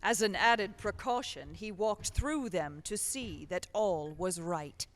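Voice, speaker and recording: A woman's voice: medium pitch, slow pace, a little hiss or hum, natural voice. A woman in a radio play acting a genteel charity collector and storyteller, earnest and theatrical. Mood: storytelling. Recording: echoey hall stage recording.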